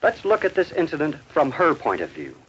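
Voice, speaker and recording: A man's voice: a little high, huge pitch swings, brisk, slight background noise. A man narrating a scripted 1950s educational film in a didactic manner, posing rhetorical questions. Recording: dull 1950s film soundtrack.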